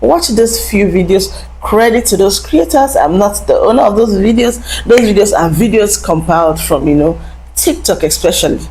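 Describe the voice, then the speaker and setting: A woman's voice: medium pitch, animated, moderate pace, darkish tone, slight background noise, poor audio. A woman hosting a talk show, explaining to viewers in a lecturing way and asking rhetorical questions. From Africa. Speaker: host.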